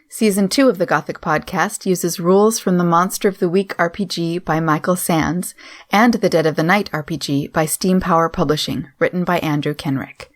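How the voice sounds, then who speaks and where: Female credits announcer, lowish pitch, scripted read.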